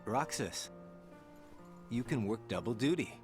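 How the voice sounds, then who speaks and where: A man's voice: mid-range voice, lively intonation, a little slow, natural voice. A man playing a laid-back young man, a wry, protective older friend in a video game cutscene, over music. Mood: decisive.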